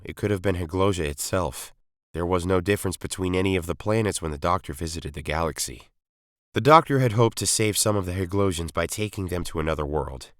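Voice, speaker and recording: A man's voice: deep voice, dry recording, clean studio sound. A man reading a scripted science fiction story as its narrator. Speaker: story reader.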